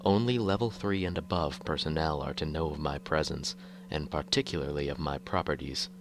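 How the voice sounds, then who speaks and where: Very deep-voiced male horror narrator. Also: a little fast, noisy background. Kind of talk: scripted horror fiction narration in first person.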